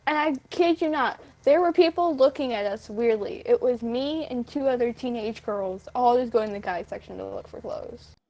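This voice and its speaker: Young female, high voice, informal and giggly, relaxed group-chat delivery. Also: normal speed, hardly any pauses, rough recording.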